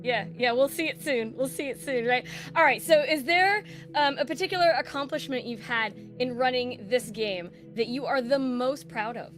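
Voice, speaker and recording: A woman's voice: high-pitched, normal speed, fairly bright tone, soft background music. A woman hosting an interview, bubbly and encouraging as she asks her guest questions. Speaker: interview host.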